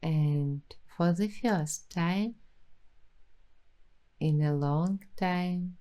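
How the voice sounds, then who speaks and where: A woman speaks in a gentle ASMR roleplay, lingering over each phrase at a very slow pace. Her voice sits low and mellow, soft and reflective, with an affirming calm. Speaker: ASMR host.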